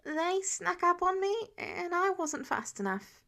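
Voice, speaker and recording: A woman's voice: very high-pitched, very animated, takes it slowly, sharp tone, pitched above the performer's own voice, full character voice. A woman voicing a stubborn, defensive young male superhero with a boyish, protesting manner in an audio story. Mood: strained, dismissive.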